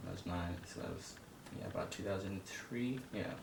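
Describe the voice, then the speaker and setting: A man in his early twenties from Zimbabwe telling personal stories as an interview guest in a casual, halting, hesitant way. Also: creaky. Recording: echoey room, distant mic, rumbling noise.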